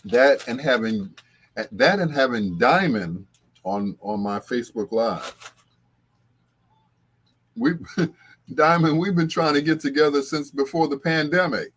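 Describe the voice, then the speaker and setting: Unhurried man chatting casually on a livestream. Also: medium pitch, lively intonation, a bit bright, slight background noise. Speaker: livestream host. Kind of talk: casual livestream chat, greeting viewers.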